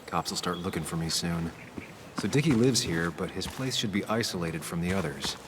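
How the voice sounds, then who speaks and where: An actor playing a dogged, reserved ex-reporter investigator in a video game, speaking in terse, understated lines. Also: male voice, sits low, animated, moderate pace, noisy background. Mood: focused, musing. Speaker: player-character investigator, former reporter. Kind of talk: video game dialogue and inner monologue.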